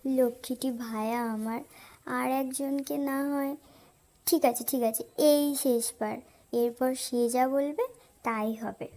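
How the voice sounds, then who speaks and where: Woman as a high-voiced, slow-talking pleading girl. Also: fairly even intonation, dark tone. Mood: pleading, coaxing. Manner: pleading, coaxing. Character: kindly girl pleading for her life. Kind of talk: children's animated fable dialogue.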